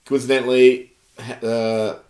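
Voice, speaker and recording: A man's voice: medium pitch, little pitch movement, measured pace, darkish tone, echoey room. A man with an Australian accent co-hosting a casual sports podcast, blunt and rambling, with some swearing. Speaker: podcast co-host.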